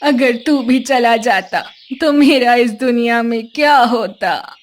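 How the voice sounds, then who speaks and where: A woman voicing a worried elderly grandmother in a cartoon story, pleading slowly and protectively. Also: a little high.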